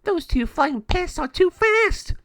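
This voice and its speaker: A man voicing flustered gargoyle underlings in a storybook, loud and complaining. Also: extremely high pitch, animated, takes it slowly, no room sound, studio quality, pitched above the performer's own voice, full character voice.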